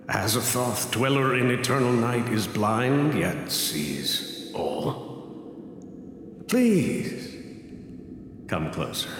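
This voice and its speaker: An actor playing a sinister blind cult leader in a radio drama, speaking with grandiose, serene menace like a preacher of oblivion. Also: male voice, on the low side. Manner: grandiose, slow, sermonizing. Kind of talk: theatrical radio drama acting. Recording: echoing hall with long reverb, music behind.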